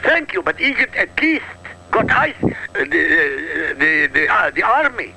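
A man's voice: a little high, sing-song, measured pace, vocal fry, sharp tone, husky, rough recording. A man from Iraq talking on a political talk radio show, emphatic and combative, in punchy short sentences.

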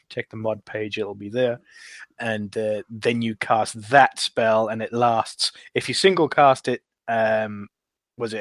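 Low-pitched man in casual podcast chat. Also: no echo. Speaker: podcast roundtable panelist. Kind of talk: casual chat about gameplay.

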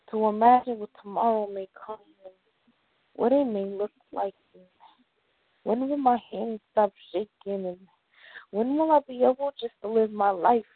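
A woman performs a confessional spoken word poem in a dramatic, deliberate way, with long pauses between phrases. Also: on the high side, vocal fry, no room sound, phone-quality sound.

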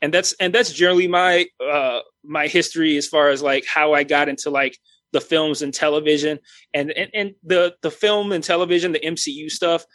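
A man's voice: on the high side, fairly even intonation, brisk, fairly bright tone, no room sound. A man in his thirties chatting casually as a podcast co-host, rambling with many restarts and fillers. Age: 30s.

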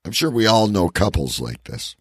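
A man's voice: sits low, sing-song, moderate pace, slightly rough, no room sound, studio quality. A man co-hosting a podcast in casual banter and letter reading, with a blunt, profane way of talking. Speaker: podcast co-host.

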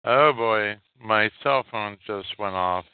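A tinny, phone-like recording carries a man with a slightly low, smooth and clear voice. He hosts solo, delivering unscripted commentary haltingly and repeating phrases for emphasis. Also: no echo. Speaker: podcast host. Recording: muffled, tinny phone-like sound.

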